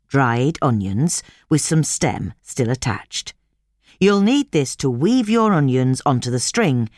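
A woman reading a scripted instructional article aloud as narrator, in measured, clearly separated phrases. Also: extremely low pitch, darkish tone, no echo, clean studio sound.

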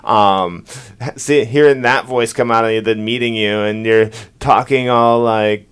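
A man hosting a casual interview, asking questions in an informal, slightly halting conversational way. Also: mid-range voice, steady tone, fairly bright tone, smooth. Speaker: interviewer, host.

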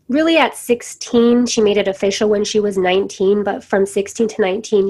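A woman's voice: medium pitch, a little mellow. A woman hosting a podcast, talking in an enthusiastic, encouraging way as she introduces her guest. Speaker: podcast host. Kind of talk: upbeat podcast interview.

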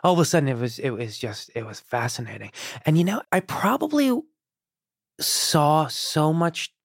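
A man's voice: fairly high pitch, lively intonation, moderate pace, vocal fry, no echo, clean studio sound. A man who grew up in Iran and speaks Persian as his first language, telling his story as an interview guest in a rambling, candid way, full of restarts and fillers. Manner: rambling, many restarts and fillers, laughs easily.

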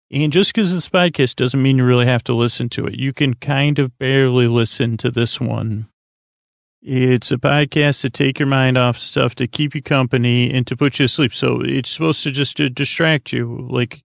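A middle-aged man hosting a sleep podcast in a creaky voice, meandering and halting through tangents and interrupting himself. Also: mid-range voice, no echo. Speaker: podcast host. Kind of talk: rambling bedtime monologue to help listeners fall asleep.

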